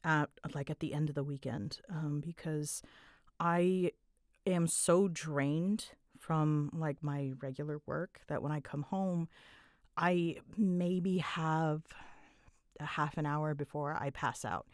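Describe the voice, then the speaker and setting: A woman's voice: sits low, fairly even intonation, slow pace, no echo, clean studio sound. A woman chatting casually and informally as a content creator answering listener questions, rambling in a self-deprecating way.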